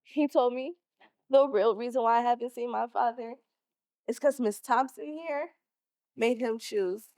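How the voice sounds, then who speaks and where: A woman's voice: a little high, unhurried, a little mellow. A woman playing a hurt, defiant teenage schoolgirl in an emotional, accusatory stage monologue. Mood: hurt, sorrowful. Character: hurt, defiant 16-year-old schoolgirl confronting her mother. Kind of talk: stage play acting.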